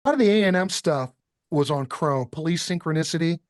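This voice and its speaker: A man's voice: fairly high pitch, brisk, dry recording, studio quality. A man hosting a casual music chat, rambling a little and thinking aloud. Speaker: interview host.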